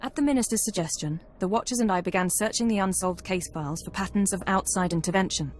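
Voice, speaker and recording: Woman as brisk spy analyst, mid-pitched, clinical, precise, game cutscene, faint music underneath. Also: natural voice. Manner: precise, clinical, matter-of-fact. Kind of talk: video game cutscene acting.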